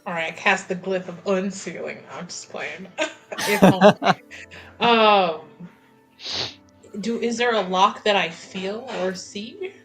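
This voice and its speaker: A woman chats as a player in a tabletop game. Her pace is slow as she thinks aloud in a playful, casual way, with soft music in the background. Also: medium pitch, low-quality recording.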